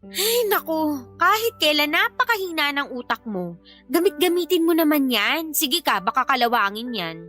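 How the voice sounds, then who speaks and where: A woman plays a sharp-tongued young Filipina mother bickering in an audio drama. Her voice is very high and bright, with a scornful, dismissive manner. Faint music sits behind her. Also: sing-song, normal speed, full character voice. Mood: mocking, scornful. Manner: sassy, scolding, dismissive. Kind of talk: Filipino radio-style drama acting.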